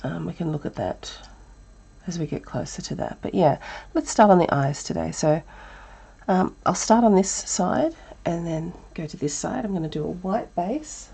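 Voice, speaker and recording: Woman, Australian accent, croaky, breathy, mellow, art lesson. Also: lowish voice, normal speed. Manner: relaxed, thinking aloud with many ums. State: croaky, breathy voice from a chest cold. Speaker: art teacher. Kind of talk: live drawing tutorial.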